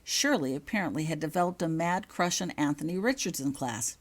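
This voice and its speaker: Low-pitched woman reading a story. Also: lively intonation, a little fast. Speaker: author reading own fan fiction aloud. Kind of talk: scripted narration, audiobook-style story reading.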